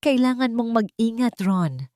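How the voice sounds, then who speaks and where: A woman's voice: fairly high pitch, big pitch swings, measured pace, darkish tone, no echo, pitched above the performer's own voice. Female reader as concerned woman accomplice, Filipino accent, cautioning manner, audiobook drama, close dry sound. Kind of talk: dramatic audiobook character dialogue.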